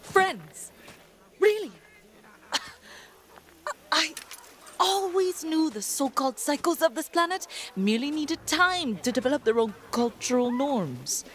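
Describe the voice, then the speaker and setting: Earnest academic young woman for a game: animated, eager, wordy, faint noise behind. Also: high voice, slow, long pauses, exaggerated voice. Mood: delighted.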